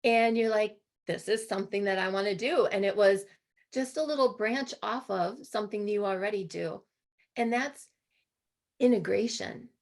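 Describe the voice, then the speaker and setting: A woman with a hoarse voice co-hosting an online interview, asking questions in a conversational, circling and halting way. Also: medium pitch. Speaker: co-host and interviewer. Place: remote video call.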